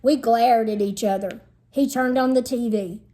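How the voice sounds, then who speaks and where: A woman's voice: on the high side, fairly even intonation, moderate pace, mellow. A woman teacher reading a children's storybook aloud in an explanatory manner meant for young listeners.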